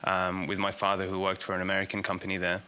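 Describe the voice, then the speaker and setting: A man who grew up in England and Saudi Arabia, a business strategist, answering interview questions haltingly with many restarts. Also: low voice, monotone, bright, forceful tone, clean tone. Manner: halting, full of restarts and fillers.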